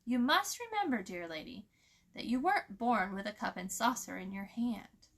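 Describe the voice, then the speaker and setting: A woman's voice: medium pitch, lively intonation, normal speed, creaky. A woman voicing a smug, gossipy male country crow with a folksy, teasing manner, in a storybook reading. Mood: smirking, persuasive. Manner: folksy, teasing, smirking persuasion.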